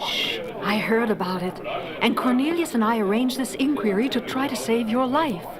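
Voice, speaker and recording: A woman's voice: a little high, moderate pace, a crowd in the background, lightly acted. An actress playing a caring, cautious female ape scientist in a record audio drama, speaking carefully on a noisy track. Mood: urgent, cautious. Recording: noisy track with ambience behind.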